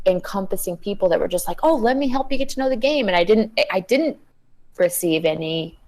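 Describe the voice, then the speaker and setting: Woman, thirties, earnest, halting, repeats words, panel guest. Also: mid-range voice, expressive, darkish tone. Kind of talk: casual panel chat.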